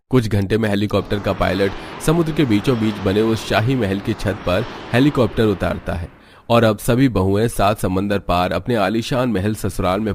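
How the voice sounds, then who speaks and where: A man's voice: fairly low pitch, darkish tone, a little hiss or hum. A man reading scripted narration for a cartoon family story.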